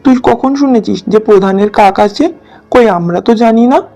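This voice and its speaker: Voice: mid-range voice, moderate pace, mellow, faint music behind, natural voice. A performer voicing a village chief's bossy henchman in a cartoon, brusque and scolding. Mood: angry, accusing. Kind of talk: cartoon dialogue.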